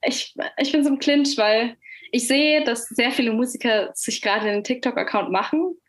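A woman's voice: on the high side, normal speed. Chatty young woman with a Franconian German accent. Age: young adult. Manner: chatty, frequent fillers, laughs easily. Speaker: musician guest. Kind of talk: casual interview chat.

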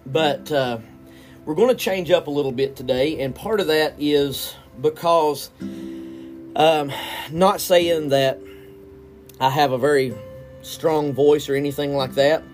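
Earnest indignant man, higher voice. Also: unhurried, pauses often, faint music behind. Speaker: podcast host. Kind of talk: solo podcast commentary.

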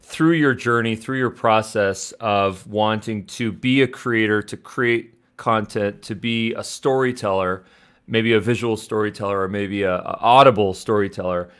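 A man's voice: on the low side, moderate pace, fairly bright tone, clear, resonant tone. A man hosting a podcast interview, introducing his guest with upbeat energy. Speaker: podcast host.

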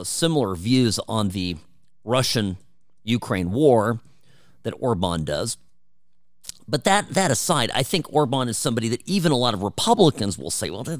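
A man's voice: mid-range voice, no room sound, studio quality. A man hosting a talk radio show, delivering an opinionated, emphatic and conversational monologue. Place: radio studio.

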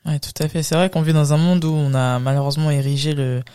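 A woman's voice: deep voice, fairly even intonation, fast talker, mellow, smooth. A woman hosting a conversational interview, speaking in a thoughtful, informal and wordy manner. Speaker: podcast host, interviewer.

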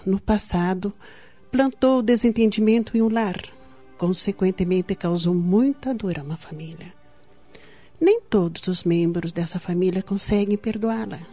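A woman playing a gentle young spiritist healer in a radio soap opera, giving measured, solemn counsel. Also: lowish voice, slightly rough. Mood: solemn, admonishing. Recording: dull lo-fi audio with music.

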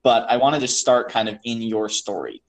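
Male podcast host, middle-pitched, casual and rambling. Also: expressive, normal speed. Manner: warm, rambling, many fillers and restarts. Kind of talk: casual interview chat.

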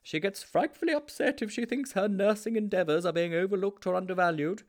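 A man's voice: sits high, brisk, voice pushed up. Man doing a jovial beaming headmaster. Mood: delighted. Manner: hearty, genial and chuckling.

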